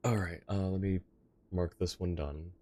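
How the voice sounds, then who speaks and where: A man's voice: low-pitched, steady tone, normal speed, a little mellow, dry recording. A young man livestreaming a game and chatting casually with viewers on a close microphone. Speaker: live game streamer. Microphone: close streaming microphone.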